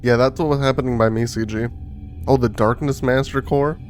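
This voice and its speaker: A man's voice: medium pitch, steady tone, a little fast. A man live-streaming a game, giving casual slangy commentary and reacting aloud as he plays. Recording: game music behind. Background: video game music and sound.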